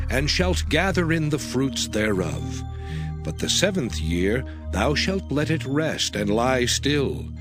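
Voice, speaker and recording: A man voicing God as a solemn, commanding lawgiver in a dramatized scripture reading, with declamatory, archaic diction. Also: mid-range voice. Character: God, solemn commanding male deity laying down law. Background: background music.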